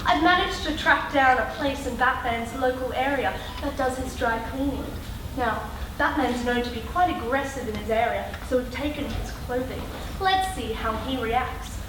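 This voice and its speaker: Woman acting high-voiced bumbling reporter, echoey stage. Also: moderate pace, close to an everyday voice. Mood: conspiratorial. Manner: animated, theatrical, addressing the audience. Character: excitable, bumbling reporter hunting superheroes. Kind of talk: live comedic stage acting. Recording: echoey noisy hall with audience, from far off.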